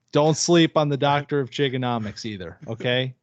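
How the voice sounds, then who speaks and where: Male podcast co-host, mid pitch, casual upbeat chatter; dry, slightly dull recording. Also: moderate pace, dry recording. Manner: chatty, upbeat, restarts phrases.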